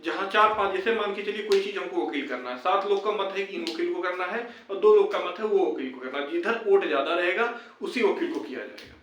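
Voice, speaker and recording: Casting: young man, high voice, insistent explainer, recorded at a distance from the mic. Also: a little fast, hardly any pauses, a bit bright, a little hiss or hum. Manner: repetitive, explanatory, urging. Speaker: video host addressing fellow job candidates. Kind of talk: informal update and appeal to viewers. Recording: very echoey room, distant microphone.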